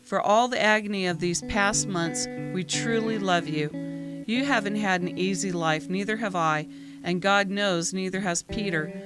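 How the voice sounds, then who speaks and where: A woman's voice: medium pitch, natural voice. Woman as caring young friend for audio drama; heartfelt, imploring, mid-range voice with music behind. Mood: tender. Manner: heartfelt, pleading, measured phrases. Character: earnest young woman, loving, pleading friend of hero.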